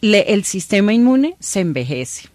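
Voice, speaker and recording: A woman doctor, calmly explaining things to a host in an interview, using everyday examples. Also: medium pitch, unhurried, dry recording, soft background music. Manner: calm, patient, explains plainly with everyday examples. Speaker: doctor, guest expert.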